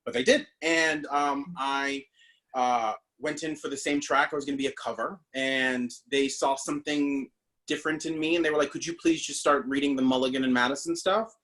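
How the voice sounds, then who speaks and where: A man from the Bahamas talks through his path as a stage actor in a loose, chatty way. His voice sits at an ordinary middle pitch, and he fills his sentences with fillers and restarts. He is heard over a video call. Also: steady tone, normal speed, a bit bright, low-quality recording. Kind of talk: casual interview storytelling.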